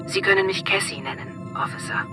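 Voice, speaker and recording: A performer playing a calm, matter-of-fact predictive policing computer in a radio drama, measured and formal. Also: mid-range voice, loud music behind the voice, lightly acted. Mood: polite, calm. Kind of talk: radio drama, system announcements.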